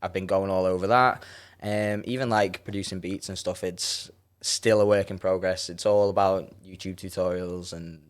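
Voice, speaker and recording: A young man with a Scouse accent, a rapper and producer chatting casually as an interview guest, relaxed and quick to laugh. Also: low-pitched. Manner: relaxed, laughs often, trails off into fillers.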